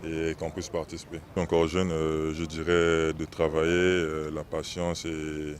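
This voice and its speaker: A young man with a very deep, flat voice answers interview questions. He is a basketball player from the Republic of the Congo, and he talks haltingly, full of fillers and restarts. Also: slight background noise. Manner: hesitant, many fillers and restarts.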